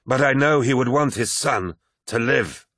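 A man's voice: medium pitch, a little slow, fairly bright tone, dry recording, studio quality, voice pushed up. A man voicing a defiant, sneering master of a warrior lodge in an audiobook, blunt and insistent.

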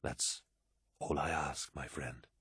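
A man voicing a commanding, brooding, proud warlord in an audiobook, with a grave, imperious, husky delivery. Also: rumbling low, normal speed, dry recording, studio quality. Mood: calm.

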